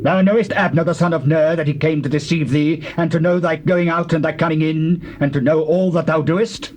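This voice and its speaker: Fairly high male voice, actor playing suspicious commander, accusing, declamatory Bible drama, faintly noisy tape. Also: steady tone, a little fast, darkish tone, natural voice. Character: Joab, indignant, suspicious male military commander. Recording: noisy old cassette tape transfer.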